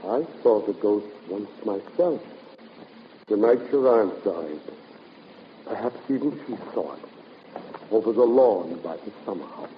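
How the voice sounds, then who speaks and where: An actor playing an ominous, coolly needling professor, deliberate and insinuating, in 1950s radio drama style. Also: male voice, lowish voice, expressive. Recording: reverberant, muffled, noisy vintage radio recording.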